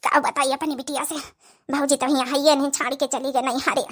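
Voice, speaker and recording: A woman's voice: high voice, level tone, fairly quick, fairly bright tone. A woman voicing an ailing, self-sacrificing old village mother in an Awadhi comedy cartoon, plaintive and soothing. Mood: plaintive aside.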